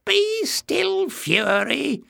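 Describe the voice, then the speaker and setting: A man's voice: very high-pitched, very animated, very slow, gravelly, sharp tone, no echo, studio quality, voice pushed up, heavily acted. A man voicing a small, malicious former acrobat in a story, sly and jeering with mock courtesy. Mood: impatient. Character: malicious little man, former palace acrobat, mocking and spiteful.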